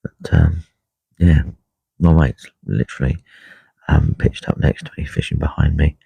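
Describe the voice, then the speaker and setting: Man, croaky and husky, dark low voice, quiet rambling live chat, dry muffled audio. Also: no room sound. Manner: quiet, unhurried, many ums and uhs. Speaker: carp angler livestreaming. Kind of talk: casual live stream chat. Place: lakeside fishing swim outdoors. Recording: dull lo-fi live stream audio.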